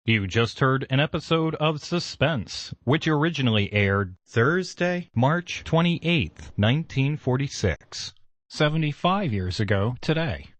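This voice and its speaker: A man reads a scripted station announcement. His mid-pitched voice moves with lively intonation in a very dry sound. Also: measured pace, no room sound.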